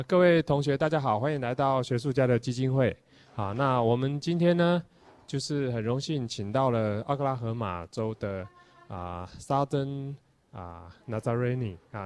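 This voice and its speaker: A man speaks into a microphone to introduce two guests. His voice is at a middle pitch, and he pads his formal lines with many fillers. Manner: filler-heavy, loud.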